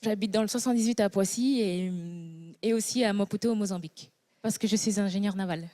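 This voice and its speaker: A woman's voice: medium pitch, quick pace, dark tone. A woman in her thirties, a contestant, answering questions in a casual chat with short replies and giggles. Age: 30s. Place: TV studio with audience. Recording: studio with audience noise.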